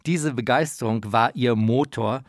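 A man's voice: medium pitch, measured pace, no echo, studio quality. A man narrating a radio feature with personal anecdotes in a scripted style.

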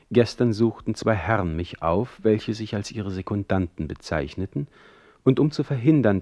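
An actor playing a polite, dryly ironic poet in a radio play, with speech. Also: male voice, lowish voice, gravelly, a little mellow, no echo. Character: polite, ironic male poet recounting by letter. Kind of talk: German radio play letter narration and dialogue.

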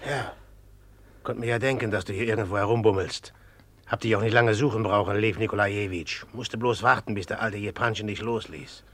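A man's voice: lowish voice, fairly even intonation, fairly quick, fairly bright tone, dry recording. An actor playing a brooding, jealous young man in a 1950s radio play, speaking bluntly and with mockery. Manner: blunt, sullen, mocking. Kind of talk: 1950s radio drama acting.

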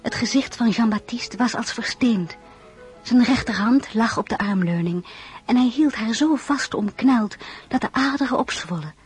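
An actress playing a reflective young wife and mother, narrating her life in a measured, intimate way in a radio drama. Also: a little high, husky, soft background music.

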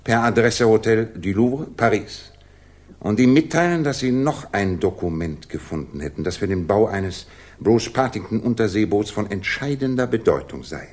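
A man's voice: lowish voice, expressive, normal speed, a little mellow. An actor in a German radio drama playing a shrewd, commanding male detective who dictates with precise authority.

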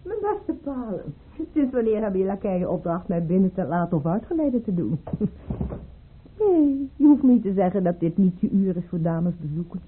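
An actress playing a proud, coquettish, insistent baroness in an old-fashioned radio drama, arch and theatrical, with a husky, breathy voice. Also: female voice, mid-range voice, sing-song, normal speed, a little hiss or hum, close to an everyday voice. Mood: arch, teasing. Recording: muffled, phone-like old radio recording.

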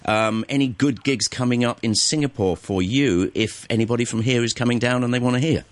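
A man's voice: medium pitch, a little fast, background noise. A man hosting a casual radio interview, upbeat and chatty. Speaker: radio host.

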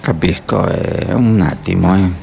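Man acting a low-voiced, curt immigration officer. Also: unhurried, vocal fry, darkish tone, slight background noise, full character voice. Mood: curt, indifferent. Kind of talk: radio play acting.

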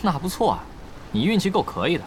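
Proud young captain, animated and highish. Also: male voice, fast talker, a little hiss or hum. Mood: incredulous, sardonic. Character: proud, driven young male esports player and team captain. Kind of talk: Mandarin audio drama acting. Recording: dramatic music bed behind the voice. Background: prominent background music.

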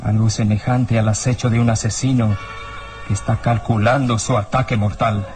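A man's voice: on the low side, little pitch movement, normal speed, lightly acted. Theatrical hard-nosed inspector, acted by a man, music underneath. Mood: uneasy, brooding. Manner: theatrical, interrogating, menacing. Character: hard-nosed, uneasy police inspector threatening a suspect. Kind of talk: old radio serial acting. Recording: radio drama with music underneath.

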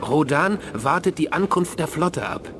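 An actor playing a ruthless reptilian alien warlord in a radio play, barking orders imperiously. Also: male voice, fairly high pitch, animated, measured pace, heavy background noise. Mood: cold, scornful. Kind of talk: 1980s German radio play acting.

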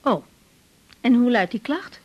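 A young woman doctor in a naturalistic radio play. Her voice sits fairly high, and she is calm, polite and patient, gently steering. The recording is a little dull. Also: measured pace. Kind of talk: naturalistic radio drama acting.

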